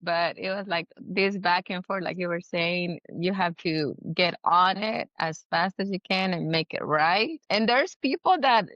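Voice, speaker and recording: A woman's voice: on the low side, measured pace, few pauses, fairly bright tone, dry recording. A woman from Guatemala chatting casually as an interview guest on a podcast, in slightly dull audio. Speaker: podcast guest, business owner.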